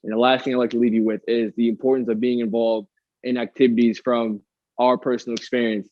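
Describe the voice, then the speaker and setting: A young man in his late teens, a student leader, speaks casually to visitors with halting phrasing and fillers. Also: medium pitch, little pitch movement, no room sound, dull audio.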